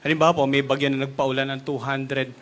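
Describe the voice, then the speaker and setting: Male weather official, Filipino accent, flat intonation, mid-pitched, explanatory answers to press questions. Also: fast, a little hiss or hum.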